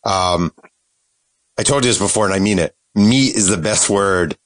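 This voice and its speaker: Male host, playful riffing, low-leaning voice, dry sound. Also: moderate pace, a bit bright, no room sound, poor audio. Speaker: podcast host. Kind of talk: casual interview chat.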